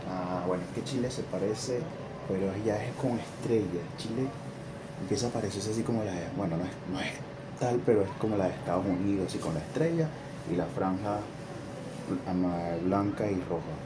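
A man in his thirties chatting casually and haltingly, as if muttering to himself, in a small room. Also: low voice. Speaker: video host. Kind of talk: casual unscripted chat. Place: small indoor room with bare walls. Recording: clearly noisy background hum.

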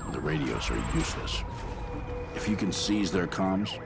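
Very deep gravelly police commander. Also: male voice, sing-song, a little slow, exaggerated voice. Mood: stern, urgent. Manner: clipped, authoritative. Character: stern, commanding male FBI unit commander. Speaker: police commander briefing an officer. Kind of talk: game cutscene mission briefing. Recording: music bed behind voice.

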